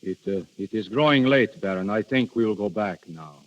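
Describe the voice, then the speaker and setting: A man's voice: on the low side, expressive, very noisy, close to an everyday voice. Casting: male actor as courtly, world-weary Death in princely guise, formal, widely varied intonation, dull vintage radio sound. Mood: melancholy, musing. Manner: formal, musing, deliberate with hesitations. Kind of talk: 1930s radio acting.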